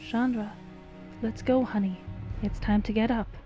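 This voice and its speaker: A woman playing a caring mother who wakes her daughter urgently and quietly. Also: fairly high pitch, fairly even intonation, a little slow, lo-fi sound, soft background music, natural voice.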